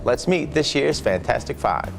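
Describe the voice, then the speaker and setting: A man's voice: medium pitch, expressive, normal speed, gravelly, soft background music. A man hosting a local school news program, reading scripted narration. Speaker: program host.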